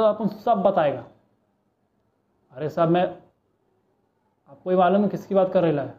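A man's voice: sits high, natural voice. A man acting a cheeky small-time crook with a Mumbai street accent, bargaining and pleading in a police interrogation monologue. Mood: wistful, pleading. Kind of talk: audition monologue, film-style street-slang acting. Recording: muffled, narrow phone-like sound.

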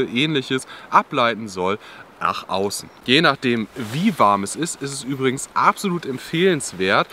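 A man's voice: medium pitch, a little hiss or hum. A young man explaining outdoor clothing layers to the camera in a drizzly forest, in a casual, explanatory way. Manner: explanatory, lively, casual with filler "ja". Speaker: outdoor channel host.

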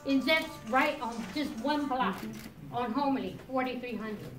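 A woman's voice: high voice, a little slow, music in the background. An older woman, a local resident, giving plain-spoken personal testimony at a meeting, repeating herself for emphasis. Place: crowded library meeting room, indoors. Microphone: distant camera. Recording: echoey room, distant mic.

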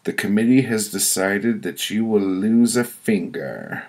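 A merciless woman judge from a dark story, played by a male narrator, hands down her sentences in a commanding manner. The voice is pushed up in pitch and keeps a gravelly edge. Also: measured pace. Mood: stern. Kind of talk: storytelling character voice.

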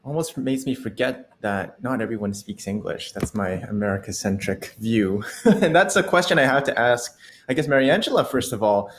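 A young man hosting a casual chat over a video call, friendly and quick to laugh, on an earphone mic at home. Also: mid-range voice, lively intonation, slight background noise. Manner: friendly, chatty, laughs often. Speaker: host. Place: home room.

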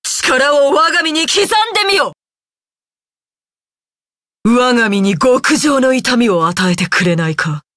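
A woman's voice: high voice, lively intonation, measured pace, long pauses, fairly bright tone, dry recording, studio quality. A woman voicing a proud, stern, battle-hungry female ninja warrior in an anime game, with haughty, archaic, commanding speech. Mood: bold, challenging. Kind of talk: Japanese anime video game voice acting.

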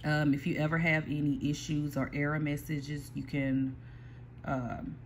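A person casually explaining printer software step by step, with frequent pauses and restarts, recorded on a phone aimed at a computer screen. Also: mid-range voice, little pitch movement, a little hiss or hum. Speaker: small print shop owner giving a software tutorial. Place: indoor workspace at a computer. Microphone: phone filming a computer screen.

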